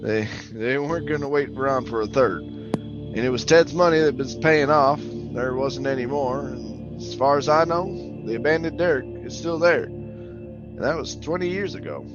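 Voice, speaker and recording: A man's voice: medium pitch, moderate pace, highs cut off, music in the background, natural voice. A man playing a jittery, haunted oil rig worker telling his suspense story in colloquial, stammering old-time radio style. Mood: uneasy recounting. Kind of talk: old-time radio drama narration, first-person suspense.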